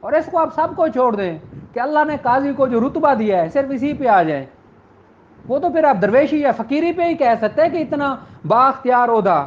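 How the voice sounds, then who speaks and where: Man, law lecturer, fatherly and deliberate, high pitch, dull lo-fi sound. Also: lively intonation. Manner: slow, deliberate, fatherly, calls students "son". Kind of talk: classroom lecture to exam students. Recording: muffled lo-fi recording, faint noise.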